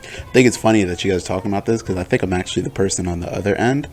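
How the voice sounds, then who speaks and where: Low-voiced young man telling stories. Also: very fast, darkish tone, a little hiss or hum. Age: 20s. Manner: storytelling, joking, slangy. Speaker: podcast co-host. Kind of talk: casual chat.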